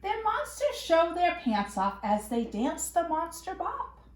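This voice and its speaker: A woman reading a picture book aloud to young children in an expressive, playful way with dramatic emphasis. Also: very high voice, unhurried. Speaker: children's storyteller. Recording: echoey room.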